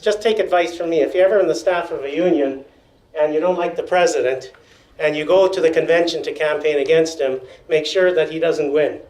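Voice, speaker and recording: An older man telling stories at a panel in a meeting room, loud-voiced with wry joking asides, feeling unwell and dizzy. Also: fairly high pitch, a little fast, slight background noise. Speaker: panel speaker. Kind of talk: storytelling at a panel. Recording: echoey room.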